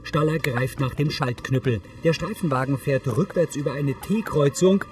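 Neutral male narrator, distant over clear music. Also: on the high side, normal speed. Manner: matter-of-fact, neutral delivery. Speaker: audio description narrator. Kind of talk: scripted audio description narration of a TV series.

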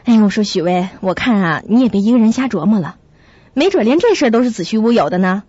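A woman playing a sensible, caring young flatmate who narrates and acts in a radio drama, with a husky, breathy voice. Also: mid-range voice, quick pace, dry recording, dull audio. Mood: reassuring.

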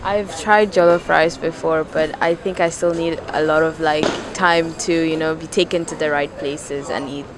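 A woman's voice: low-pitched, steady tone, moderate pace, few pauses. A young woman, a junior tennis player, answering interview questions casually, with frequent fillers. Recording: crowd noise behind.

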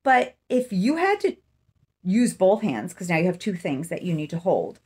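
Middle-aged woman, slightly low conversational voice. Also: very animated, moderate pace, darkish tone, slightly rough. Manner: conversational, thinking aloud. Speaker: vlogger. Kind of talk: casual true-crime commentary to camera. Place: small room indoors, seated in an armchair.

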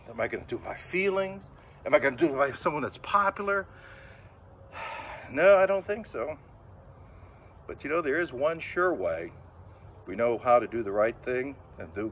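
A man's voice: medium pitch, a little hiss or hum. An older man giving a children's sermon outdoors in front of a church, talking casually and acting out little voices as he goes. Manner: lively storytelling, acts out inner voices, gestures a lot. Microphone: camera a few metres away, standing full figure.

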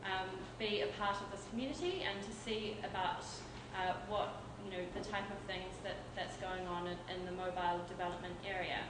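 A woman's voice: mid-range voice, fairly even intonation, a little fast, hardly any pauses. A woman giving an informal company presentation at a tech meetup, hesitant, with frequent fillers and restarts. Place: event venue with an audience. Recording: echoey room from far off, noisy.

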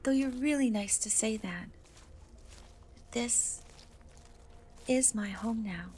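Casting: woman to play timid, kind cat-girl for fantasy ASMR; highish soft voice, unhurried, hesitant, near-whisper delivery. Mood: wistful, hesitant. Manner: hesitant, soft-spoken, often slipping into whisper. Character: gentle half-cat young woman, kind, timid, reassuring. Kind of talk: dark fantasy ASMR roleplay, soft intimate acting. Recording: noticeable background noise and ambience.

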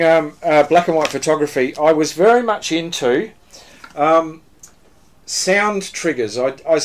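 A man's voice: fairly high pitch, a little hiss or hum. Older man, rambling show-and-tell. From Australia. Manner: rambling, many fillers and restarts, chuckling. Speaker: club presenter. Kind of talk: casual show-and-tell talk. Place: small room with green backdrop.

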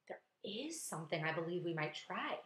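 A woman voicing an earnest young boy in a children's storybook, speaking in a calm, measured, resolute way. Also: medium pitch, close to an everyday voice. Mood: hesitant, apologetic. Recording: echoey, roomy sound.

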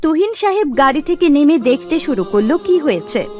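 High-pitched woman narrating a story. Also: sing-song, normal speed, soft background music. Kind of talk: scripted cartoon story narration.

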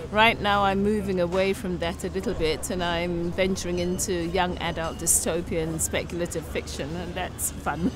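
Measured low-voiced woman outdoors. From Zimbabwe and England. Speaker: writer and filmmaker. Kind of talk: casual interview. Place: outdoor festival lawn. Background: music.

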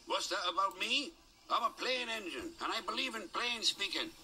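A man's voice: high voice, expressive, normal speed, sharp tone, a little hiss or hum, voice pushed up, full character voice. A man voicing a cocky, grumpy male steam engine who speaks bluntly and crossly, in a children's storybook show. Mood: gruff.

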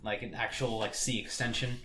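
A man's voice: mid-range voice, little pitch movement, brisk, bright, forceful tone, echoey room, a little hiss or hum. A man co-hosting a casual tech podcast, rambling with many fillers. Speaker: podcast co-host.

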